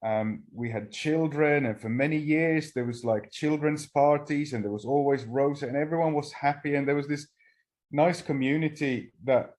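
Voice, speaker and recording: A man's voice: a little high, a little mellow. Hesitant man from Finland sharing his story. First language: Swedish. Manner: halting, frequent restarts and fillers. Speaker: speaker at a recovery meeting. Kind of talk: personal testimony talk.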